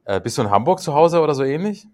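A man in his sixties co-hosting a podcast, joking playfully and pausing often on "äh" and "ähm". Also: fairly high pitch, very animated. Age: 60s. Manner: joking, playful, hesitant with fillers. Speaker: podcast co-host. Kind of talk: casual interview chat.

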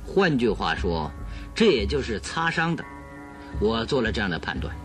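A man's voice: lowish voice, sing-song, normal speed, faint music behind. An actor playing a confident male detective in a radio drama, explaining deductions in a theatrical, self-assured way. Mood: assured, explanatory.

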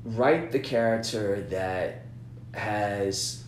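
A man with a lowish voice talks casually and at length, stumbling over repeated words and circling back to his points. The room around him is echoey, with a faint hum and background noise. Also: drawn-out words. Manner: rambling, repeats words, frequent "you know what I'm saying". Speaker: business-minded artist partner. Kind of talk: casual planning chat.

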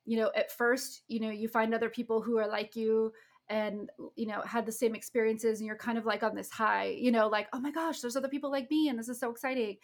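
A woman's voice: on the high side, creaky. Affirming woman podcast host. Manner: frequent affirming backchannels, rambling with fillers. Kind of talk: conversational interview.

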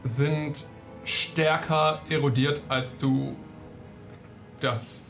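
A man's voice: a little high, steady tone, fairly bright tone, faint music behind. Man narrating as game master. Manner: descriptive, measured narration with fillers.

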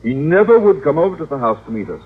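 An actor playing a pompous, blustery middle-aged high school principal in an old-time radio comedy. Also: male voice, very animated, breathy edge. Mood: self-satisfied. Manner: pompous, blustery, deliberate emphasis. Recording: tinny vintage radio recording with noise.

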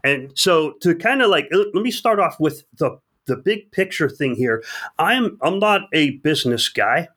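A man's voice: fairly high pitch, lively intonation, normal speed, fairly bright tone. A man hosting a podcast, reading out a guest introduction and then chatting in a loose, casual way. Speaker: podcast host.

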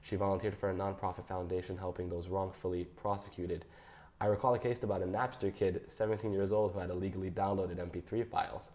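A young man reading his personal statement aloud in a measured, careful way. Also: sits low, level tone, mellow. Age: young adult. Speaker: guest.

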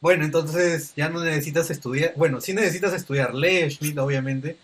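A man's voice: fairly high pitch, steady tone, fairly quick, slightly rough. Chatty male podcast host. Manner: conversational, tag questions "¿no?", fillers "este". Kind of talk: casual podcast interview.

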